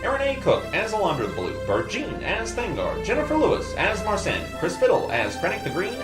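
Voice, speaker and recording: A man reading the end credits of an audio drama in a formal, measured way. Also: sits high, wildly swooping pitch. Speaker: credits announcer. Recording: credits read over loud music.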